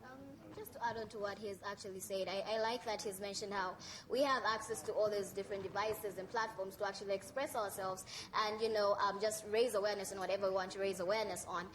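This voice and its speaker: A woman's voice: medium pitch, fairly even intonation, fast talker, few pauses, crowd noise, poor audio. A young woman with a Zambian accent, speaking earnestly and articulately as an activist on a discussion panel, with noticeable background noise. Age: young adult. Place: live conference panel.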